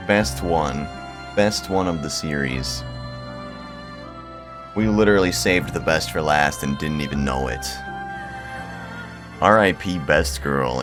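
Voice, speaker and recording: A low-pitched man gives casual commentary as he streams a visual novel. His delivery swings widely, full of slang, swearing and mock anguish. Faint game music plays underneath. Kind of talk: casual game commentary with read-aloud dialogue.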